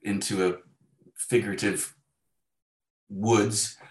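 Echoey, low-voiced man in conversation. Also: slow. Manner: animated storytelling, acting out imagined dialogue. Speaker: guest author. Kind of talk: conversational interview. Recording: roomy, echoey sound.

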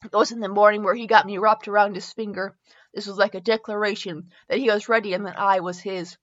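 A woman's voice: medium pitch, steady tone, fairly quick, a bit bright, voice pushed down, lightly acted. Woman reading as a wistful lovestruck male writer, lo-fi. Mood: tender, dreamy. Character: lovestruck young male writer narrating a tragic romance. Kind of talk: dramatic fan fiction reading.

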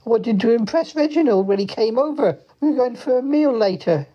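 A woman's voice: a little high, lively intonation, a little fast, dark tone, highs cut off. A woman acting as a fretful but loving mother in a comic audio drama. She speaks fondly and anxiously.

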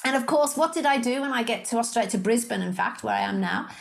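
A woman's voice: fairly high pitch, animated, fast talker, creaky. A woman in her fifties with a slight Liverpool accent, telling her story as a guest in a casual interview, in a chatty, self-mocking way. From Liverpool, England. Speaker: guest, sales coach.

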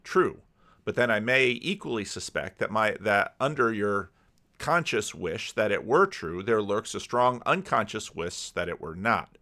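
A man's voice: on the low side, lively intonation, a little slow, sharp tone. A man giving casual commentary and reading aloud, bookish and given to digressions. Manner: bookish, digressive, stopping to comment on what is read. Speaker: video commentary host.

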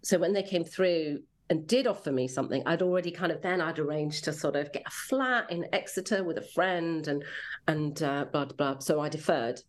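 An English woman with a low-pitched voice talks casually as an interview guest. She is chatty and self-deprecating, looking back in a reflective, conversational way. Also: a little fast. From rural Devon, England. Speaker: interview guest, children's drama commissioning editor.

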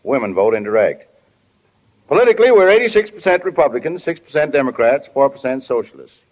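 An actor playing a deadpan, matter-of-fact small-town newspaper editor in a 1940s radio drama. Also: male voice, mid-range voice, quick pace. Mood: dry. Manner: deadpan, reciting facts. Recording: muffled 1940 radio broadcast.

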